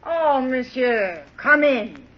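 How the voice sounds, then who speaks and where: A woman's voice: high voice, sing-song, slow pace, heavily acted. A woman playing a harsh, greedy tavern mistress who scolds and sneers in a 1930s radio drama. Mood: grudging, grasping. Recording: muffled, hissy 1930s radio recording.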